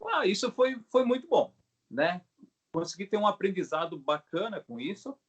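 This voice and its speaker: A middle-aged man with a Brazilian Portuguese accent explains his craft in a casual, halting way, often checking in with short tag questions. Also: high voice, sing-song, slightly rough. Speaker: craftsman, bow maker being interviewed. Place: video call.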